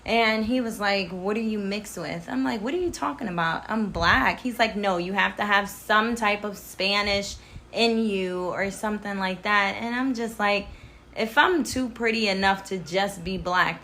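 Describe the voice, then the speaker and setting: Young adult woman, bright and crisp, emphatic casual talker. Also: mid-range voice, normal speed, a little hiss or hum. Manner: animated and emphatic. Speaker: daughter. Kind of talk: casual conversation.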